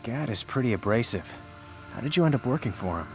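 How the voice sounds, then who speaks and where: A man's voice: mid-range voice, lively intonation, fast, close to an everyday voice. An actor playing a cool, wry male hero in a fantasy video game, composed in his delivery. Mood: curious, cool. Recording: clear music underneath.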